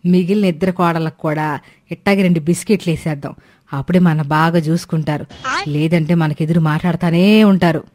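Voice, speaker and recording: A woman's voice: deep voice, fairly even intonation, brisk, darkish tone. A woman voicing a sly, sweet-talking elderly mother-in-law in a moral cartoon story. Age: older.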